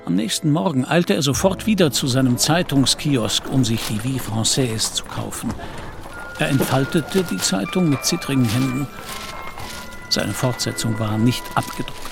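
Radio play narrator, male, medium pitch, literary prose delivery with music clearly underneath. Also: lively intonation, normal speed.

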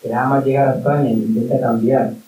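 A man's voice: mid-range voice, level tone, fast talker, recorded from a distance, phone-quality sound. A man from Colombia speaking at a public talk, arguing passionately and leaning on fillers as he goes. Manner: impassioned, argumentative, full of fillers like "o sea" and "en plan". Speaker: panel speaker, migrant activist. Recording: roomy hall, voice picked up from afar, faint hiss.

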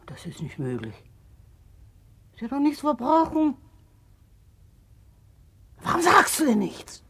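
A woman's voice: high-pitched, huge pitch swings, a bit bright, exaggerated voice. An actress playing an older, motherly woman convict, earthy, blunt and consoling, in a 1960s German radio drama. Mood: indignant. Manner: slow, plain-spoken, earthy.

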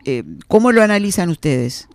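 A man interviewing a guest in Spanish, asking long, carefully framed questions in a measured way. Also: high-pitched, big pitch swings, creaky, no echo.

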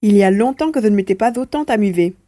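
A woman's voice: medium pitch, expressive, quick pace, mellow, no room sound, lightly acted. A woman voicing a small male mouse-beaver, playful and boastful, in an audio drama. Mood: smug amusement.

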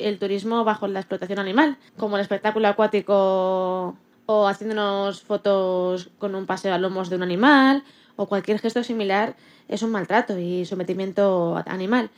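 A woman with a Spain Spanish accent co-hosting a radio show, presenting a semi-scripted segment. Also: mid-range voice.

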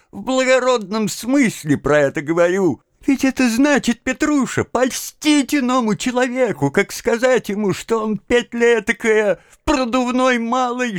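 An agitated, exasperated master in a classic-novel audiobook. His voice runs high and swings widely as he wheedles, repeats himself and splutters with outrage. The sound is close and dry. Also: smooth, no room sound. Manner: wheedling, repetitive, spluttering insults. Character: agitated, exasperated middle-class clerk master, pleading then raging.

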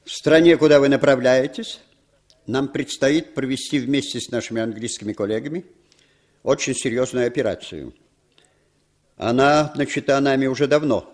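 An actor playing an urbane, commanding, cynical intelligence chief in a 1960s Soviet radio drama, with deliberate, slyly ironic delivery. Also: male voice, medium pitch, darkish tone, lightly acted. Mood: businesslike briefing.

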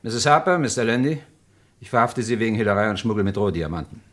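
An actor playing a composed, authoritative police inspector in a radio crime drama, matter-of-fact and commanding. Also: male voice, lowish voice, lively intonation, fast talker, fairly bright tone. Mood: stern, controlled. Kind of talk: 1950s-80s German radio crime drama acting.

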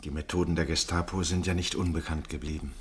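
An actor playing a sober, sympathetic listener in a radio play, asking questions in a measured, understated way. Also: male voice, very deep voice, steady tone, slightly rough. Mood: grave, attentive. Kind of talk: quiet dialogue in a radio play.

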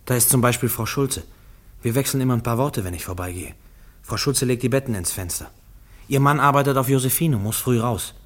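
An actor in a German radio play as a simple, dutiful railway guard, earnest and husky. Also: male voice, medium pitch, fairly quick, natural voice. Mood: earnest, homely.

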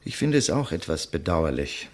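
Proud, theatrical writer with sweeping pitch. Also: male voice, very animated, normal speed, darkish tone. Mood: dry, sardonic. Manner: theatrical, eloquent, sardonic. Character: proud, defiant male writer facing his executioner. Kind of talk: 1950s German radio play acting.

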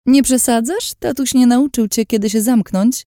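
Woman acting a high-pitched, sarcastic scheming villainess. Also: very animated, normal speed, dark tone, no echo, clean studio sound. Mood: mocking. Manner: mocking, theatrical. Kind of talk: dramatised audiobook narration.

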